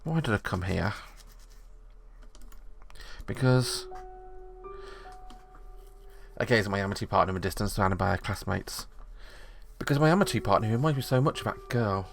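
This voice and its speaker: A man's voice: lowish voice, a little fast, vocal fry, long pauses. A man reading the narration of a visual novel aloud, steadily, with small slips.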